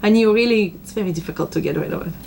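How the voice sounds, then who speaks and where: A woman speaking English as a non-native speaker in a casual family Q&A, brisk and emphatic as she explains. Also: fairly low pitch, expressive, gravelly, darkish tone, a little hiss or hum. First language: not English. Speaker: vlogger, mother.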